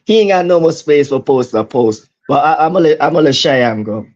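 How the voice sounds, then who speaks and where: A man's voice: fairly high pitch, lively intonation, fairly quick, darkish tone, highs cut off. A man hosting a casual chat, teasing his guests with slangy jokes and laughing. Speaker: host.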